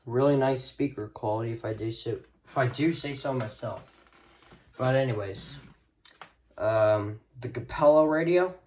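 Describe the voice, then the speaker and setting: A man's voice: mid-range voice, measured pace, darkish tone, a little hiss or hum. A man talking informally and offhand as he shows off a collection, in an unscripted hobby video. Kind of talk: casual showcase of a radio collection, unscripted.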